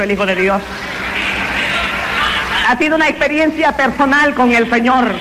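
A man's voice: extremely high pitch, noisy background. A man, giving a fervent, emphatic religious testimony that he tells as a story. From Honduras. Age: 20s. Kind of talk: evangelical testimony.

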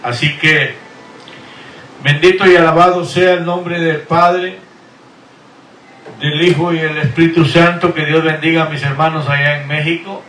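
A middle-aged man, a pastor, preaching and sending drawn-out, earnest blessings and greetings over the radio. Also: a little high, fairly even intonation, fairly bright tone, a little hiss or hum. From Guatemala. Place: Houston, Texas. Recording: echoey room, voice from far off the mic.

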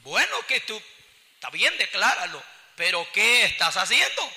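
A man's voice: high-pitched, sing-song, a little slow, pushed and bright. A man with a Salvadoran accent preaching an evangelical sermon in a colloquial, exhorting way, often putting questions to his listeners. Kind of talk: impassioned church sermon with scripture teaching. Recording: long hall reverb.

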